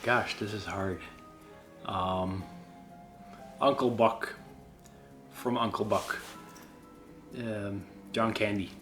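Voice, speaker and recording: A Swedish man with an accent, a YouTube creator casually answering viewer questions, halting and self-deprecating with many restarts. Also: lowish voice, fairly even intonation, a bit bright, soft background music. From Sweden.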